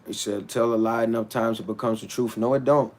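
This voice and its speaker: Guy ranting to his stream chat, blunt and animated, trips over his words a lot, mid-pitched voice. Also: brisk. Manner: blunt and animated, with stammered restarts; talks back to his chat. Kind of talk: casual livestream rant.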